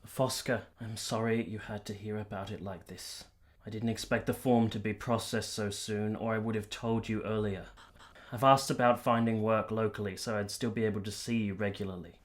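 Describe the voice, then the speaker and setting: A man's voice: on the low side, fairly even intonation, normal speed, lightly acted. A man playing an earnest, devoted, principled army captain in a period radio drama, speaking formally and sincerely. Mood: tender.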